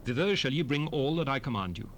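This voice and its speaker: An actor playing a solemn, commanding prophet addressing his people in a dramatized scripture reading, with measured, declamatory phrasing. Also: mid-range voice, lively intonation, fairly bright tone. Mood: solemn exhorting. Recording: noisy 1970s cassette rip, ambient background.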